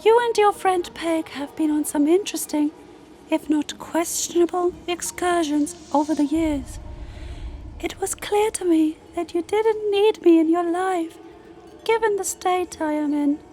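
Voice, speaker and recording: A woman's voice: very high-pitched, warm tone. A woman playing a serene, lofty female moon spirit in an audio drama, speaking formally and solemnly over music. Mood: solemn, confessional. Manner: formal, solemn, measured storytelling. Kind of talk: audio drama character monologue. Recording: music behind the voice.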